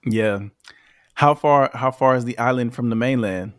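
A man hosting a podcast interview in a relaxed, casual way, with lots of easygoing fillers. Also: mid-range voice, moderate pace, no echo, studio quality. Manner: relaxed, leans on "you know" fillers. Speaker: podcast host. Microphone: remote call.

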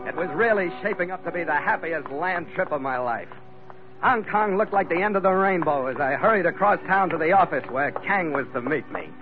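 A man's voice: a little high, animated, a little fast, natural voice. An actor playing a confident ship's captain who narrates his seafaring adventures in 1940s radio style. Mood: easy, upbeat. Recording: dull old radio recording, loud music behind.

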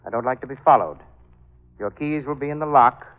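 Guy doing a creepy polite radio villain, unhurried menace, big echo, old narrow-band recording. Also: medium pitch, close to an everyday voice. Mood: calm menace. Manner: mock-polite, unhurried, sinister courtesy. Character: soft-spoken, menacing villain who claims a deadly touch. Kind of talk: 1940s radio drama acting. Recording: muffled old radio recording, long reverb.

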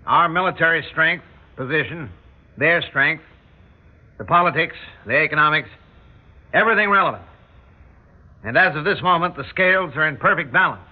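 An actor playing a grave, deliberate military general in a mid-century radio drama, speaking formally in measured, halting phrases. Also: male voice, a little high, expressive, phone-quality sound. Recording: thin band-limited old radio recording.

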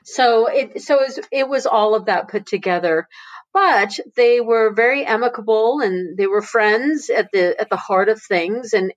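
Woman w telling family stories, laughs a lot, restarts sentences, lively talker. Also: mid-range voice, muffled recording. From Italy. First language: Italian. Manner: laughs often, frequent restarts. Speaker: interview guest. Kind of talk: casual storytelling.

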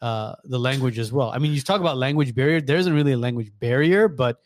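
A man's voice: mid-range voice, quick pace, dry recording, clean studio sound. A man hosting a casual podcast chat, telling a story with many restarts and laughing at himself.

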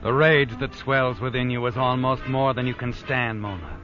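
A man's voice: medium pitch, normal speed, lightly acted. A man playing a knowing, ominous narrator in old radio suspense drama, dramatic and needling in his phrasing. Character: knowing, ominous noir narrator addressing the culprit. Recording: dull old radio broadcast. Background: dramatic music.

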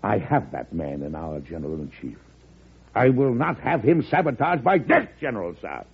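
An actor playing a weary, resolute wartime president in a vintage radio drama, measured and grave with a stern edge. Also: male voice, fairly low pitch, sing-song, husky, exaggerated voice. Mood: stern, indignant. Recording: muffled, narrow-band old radio broadcast.

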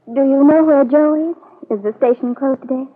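A woman's voice: high-pitched, normal speed, lightly acted. An actress with an American accent playing a proud, hurt young woman, cool and formal, in an old radio soap opera on a muffled vintage recording. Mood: guarded. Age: young adult. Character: proud, hurt eighteen-year-old country girl, coolly aloof.

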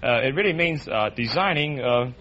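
A man's voice: medium pitch, animated, normal speed, a bit bright. A man aged thirty answering questions unscripted as a contestant on an old-time radio quiz. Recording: dull vintage radio, faint noise.

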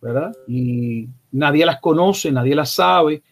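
A man, a pastor answering interview questions in a measured way, stringing phrases in series. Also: a little high. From Puerto Rico. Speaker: pastor, guest.

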